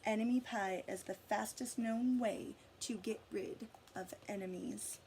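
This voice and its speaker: Woman reading as a calm, secretive storybook dad. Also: medium pitch, a little slow, a little hiss or hum, close to an everyday voice, poor audio. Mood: mysterious. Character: boy's calm, secretive father with a pie recipe.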